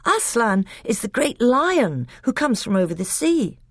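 An eager, timid little prince chatters in a high, wide-ranging voice, put on by a woman reading aloud. The sound is close and dry, with no room around it. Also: very animated, a little slow, slightly rough, dry recording, exaggerated voice. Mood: eager babbling.

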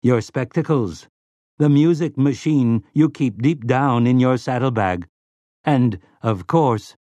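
A man's voice: medium pitch, a little slow, no room sound, pitched above the performer's own voice, natural voice. A man voicing a complacent, prissy male servant robot in an audiobook, with smug solicitude and silky menace. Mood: silky needling.

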